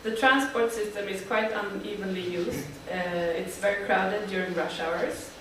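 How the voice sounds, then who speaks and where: A woman from Sweden presents her team's project to an audience, pausing on frequent fillers. Her voice sounds distant in a big, very echoey hall, with faint room noise behind it. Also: mid-range voice, steady tone, fairly bright tone. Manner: speaks with frequent uh fillers, friendly and plain. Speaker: student team presenter.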